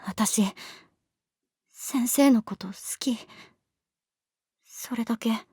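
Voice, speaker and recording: A woman's voice: a little high, extremely slow, warm tone, slightly rough, no echo, studio quality, full character voice. An actress playing a vulnerable, earnest teenage schoolgirl in a Japanese audio drama, with a hesitant, emotionally raw delivery. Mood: earnest, overwhelmed. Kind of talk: Japanese anime-style audio drama acting.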